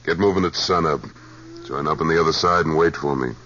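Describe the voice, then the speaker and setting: A man's voice: very deep voice, fairly quick. A man acting a tough, laconic cowboy hero in a 1950s radio western, speaking and narrating in a terse, commanding manner. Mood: grim narration. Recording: dull 1950s radio recording with music.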